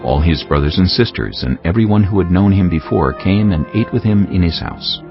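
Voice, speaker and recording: Very deep, gravelly male scripture narrator. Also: fairly quick. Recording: muffled audio under a music bed.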